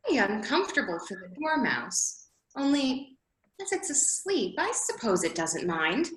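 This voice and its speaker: A woman's voice: on the high side, sing-song, unhurried, fairly bright tone. A woman acting a prim, indignant, curious young girl in a readers theater sketch. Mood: musing. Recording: roomy echo.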